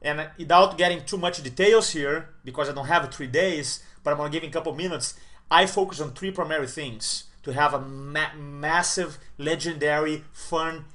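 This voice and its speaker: A man's voice: a little high, normal speed. An enthusiastic forty-something male coach. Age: 40s. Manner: enthusiastic, repeats words for emphasis, swings loud and soft. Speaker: motivational speaker and coach. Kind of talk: direct-to-camera motivational talk.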